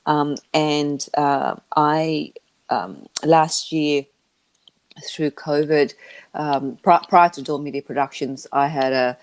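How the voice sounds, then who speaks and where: A woman who learned English as a second language, answering interview questions about her business in a halting way, with many restarts. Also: low voice, fairly even intonation. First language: not English. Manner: halting, with frequent restarts and fillers. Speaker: interview guest, media business founder.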